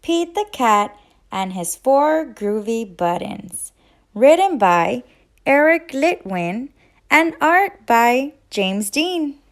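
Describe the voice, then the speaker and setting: Female children's storyteller, high register, very unhurried delivery, expressive and interactive with young listeners. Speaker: teacher and children's read-aloud host. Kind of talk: children's picture book read-aloud with sung refrains.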